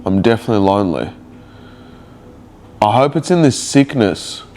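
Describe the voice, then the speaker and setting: A man's voice: fairly low pitch, very animated, moderate pace, a little mellow. A man with a cold, sounding nasal, talking emotionally and rambling in a confessional video diary. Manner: rambling, emotional, searching for words. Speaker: vlogger.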